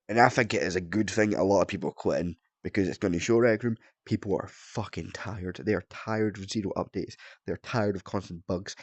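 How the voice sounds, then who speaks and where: Fast-talking young Scottish man, raspy and sick. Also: lowish voice, dry recording. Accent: Scottish, partly softened. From Scotland. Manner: swears often, heavy filler "like", rambling. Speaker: gaming YouTuber. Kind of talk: casual ranting vlog.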